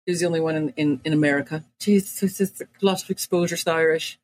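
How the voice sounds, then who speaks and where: A woman's voice: deep voice, steady tone, quick pace, a little mellow. Lady cohost just chatting, rambly, says like a ton. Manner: rambling, heavy use of "like". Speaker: podcast co-host. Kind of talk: casual chat.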